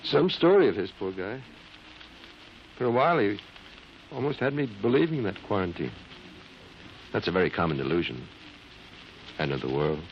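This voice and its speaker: Low, gravelly radio-drama army doctor. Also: male voice, big pitch swings, normal speed, pauses often. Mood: puzzled. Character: calm, paternal military medical officer, quietly curious. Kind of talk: 1950s radio drama acting. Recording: muffled old radio recording, faint noise.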